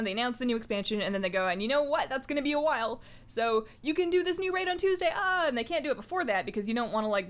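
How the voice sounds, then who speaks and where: A woman chatting casually to camera as a gaming vlogger at her desk, with playful asides and self-interruptions. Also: medium pitch. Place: indoors at a home desk.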